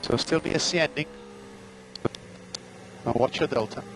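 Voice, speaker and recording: A man's voice: a little high, normal speed, gravelly, fairly bright tone, faint music behind, low-quality recording. A man working as a race engineer, giving terse, clipped instructions and data over motor racing team radio. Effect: team radio transmission.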